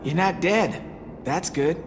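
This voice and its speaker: A man's voice: a little high, slow, long reverb tail, music in the background. An actor playing an easygoing, joking teenage boy in a video game, playful, theatrical and teasing. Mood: wry.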